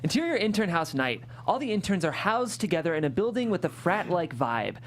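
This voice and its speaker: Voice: medium pitch, sing-song, brisk, a little hiss or hum. A narrator reading scripted stage directions aloud at a table read.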